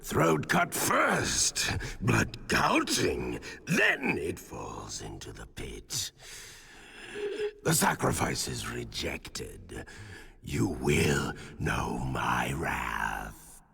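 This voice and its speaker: An actor playing a monstrous, wrathful false god in a video game, gravelly and raspy, speaking in halting broken phrases. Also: male voice, a bass voice, wildly swooping pitch, sharp tone, heavily acted. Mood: wrathful, menacing.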